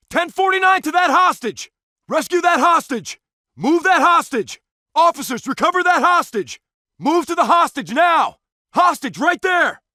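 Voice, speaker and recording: A man's voice: very high-pitched, bright, forceful tone, dry recording, clean studio sound, heavily acted. A male actor voicing a tough, commanding SWAT officer in a video game, barking clipped, urgent, authoritative callouts. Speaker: police officer player character.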